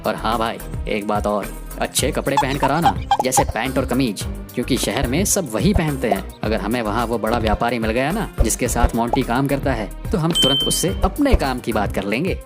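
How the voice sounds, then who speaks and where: A very low-pitched, swooping female-acted village man from a cartoon folk tale, cocky and scheming. He talks emphatically and colloquially, quick to scoff and boss people around, with music playing underneath. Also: huge pitch swings, exaggerated voice. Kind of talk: animated Hindi moral story dialogue. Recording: music behind the voice.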